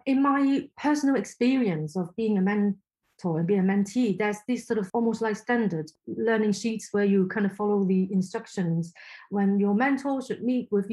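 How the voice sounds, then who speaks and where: A woman's voice: medium pitch, warm tone, rough recording. A woman from Hong Kong whose first language is Chinese, answering interview questions thoughtfully with frequent restarts. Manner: thoughtful, halting, many restarts. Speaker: podcast guest.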